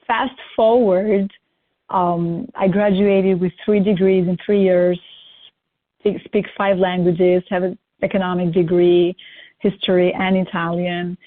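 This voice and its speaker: Woman on a flat tinny line. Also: on the low side, unhurried. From Albania. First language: Albanian. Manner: upbeat, lists achievements at length. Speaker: guest. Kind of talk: interview self-introduction.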